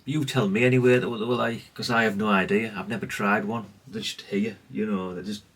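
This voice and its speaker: A man's voice: lowish voice, fairly even intonation, fairly quick, a bit bright, husky, distant mic. A man giving a casual, rambling, understated show-and-tell, speaking off the cuff. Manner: rambling, self-effacing, understated. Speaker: hobbyist presenter.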